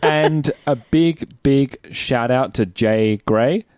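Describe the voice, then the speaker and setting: A man's voice: medium pitch, slow, a little mellow, clean tone, no room sound, clean studio sound. An Australian man co-hosting a podcast in casual chat, speaking informally and encouragingly. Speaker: podcast co-host.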